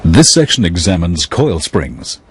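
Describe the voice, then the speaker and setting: A man giving scripted technical narration in a measured, explanatory way. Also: sits low, very animated.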